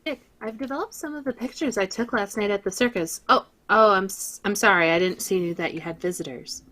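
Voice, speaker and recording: A woman's voice: medium pitch, fairly quick, a bit bright, lightly acted. A woman reading a detective's polite young girlfriend in a live old-time radio table read.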